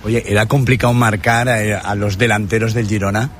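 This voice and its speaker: Friendly male interviewer, low voice. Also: steady tone, a little fast, background noise. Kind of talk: casual interview.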